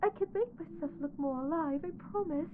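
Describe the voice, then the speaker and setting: A woman voicing a lovestruck, clingy undead corpse bride in an ASMR audio roleplay, soft, earnest and pleading. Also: high voice, brisk, narrow, tinny audio, background noise, close to an everyday voice. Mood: apologetic, hurt.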